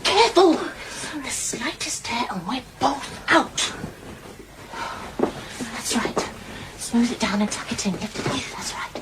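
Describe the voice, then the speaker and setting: An actress playing a chatty, worldly-wise working-class housemaid in a radio drama, blunt and bossy in a matter-of-fact way. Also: female voice, on the high side, animated, slow, heavy background noise. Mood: brisk, bossy.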